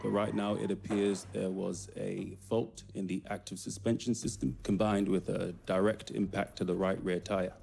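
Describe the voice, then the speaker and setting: An actor playing a somber racing spokesman in a video game, giving a hesitant, measured official statement. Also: low voice, little pitch movement, creaky, warm tone, breathy edge, full character voice.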